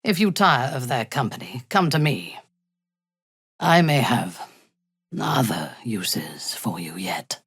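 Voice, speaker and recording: An actress playing an imperious, ruthless female drow commander in a video game, haughty and measured, with menace and seduction. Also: extremely low pitch, expressive, breathy edge, no room sound, studio quality, full character voice. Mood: seductive, scheming. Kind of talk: scripted video game voice acting.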